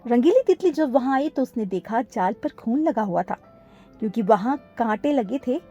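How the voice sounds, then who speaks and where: Lady narrator for a kids cartoon story, pretty high pitched and very lively. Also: normal speed, dull audio, soft background music.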